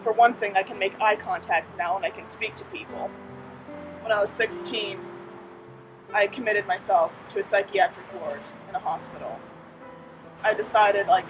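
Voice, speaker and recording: A young man giving a plain, matter-of-fact personal testimony. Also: sits high. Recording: distant mic under music.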